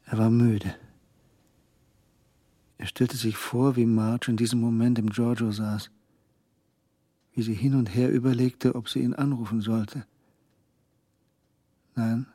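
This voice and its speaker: An actor narrating a tense crime story in a scripted radio drama. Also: male voice, mid-range voice, mellow, slightly rough, dry recording.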